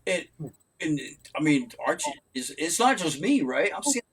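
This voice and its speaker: Excitable, teasing man host. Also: a little high, moderate pace, husky. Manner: excitable, teasing, loud bursts. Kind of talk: casual panel chat.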